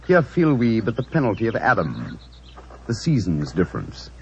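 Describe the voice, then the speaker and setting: An actor playing a cultured English detective, urbane and eloquent, in theatrical 1940s radio style. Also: male voice, lowish voice, wildly swooping pitch, moderate pace. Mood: lyrical, reciting. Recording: noisy, muffled 1940s radio recording.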